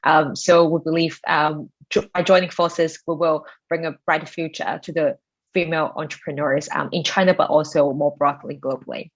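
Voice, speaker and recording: Lowish-voiced woman panelist on muffled call. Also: fairly even intonation, normal speed. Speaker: panelist, programme representative. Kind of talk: structured panel answer. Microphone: online conference call.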